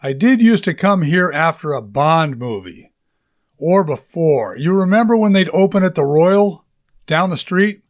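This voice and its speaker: A man's voice: fairly high pitch, expressive, unhurried. A man voicing a chatty, easygoing local man in an audiobook, speaking in a casual, colloquial manner.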